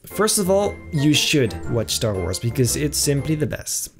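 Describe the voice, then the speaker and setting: A young man talks through viewer questions in a loose, easygoing way. He hesitates, fills gaps with fillers and trails off into little asides. His voice sits at an ordinary pitch, and music plays clearly behind him. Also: very animated, measured pace. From Netherlands. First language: Dutch. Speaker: YouTuber answering viewer questions. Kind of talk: casual Q&A chat. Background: background music.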